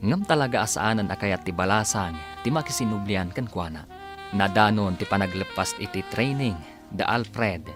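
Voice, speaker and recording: A man announcing and narrating a radio drama serial in a scripted, theatrical style with drawn-out emphasis. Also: fairly low pitch, lively intonation. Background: music.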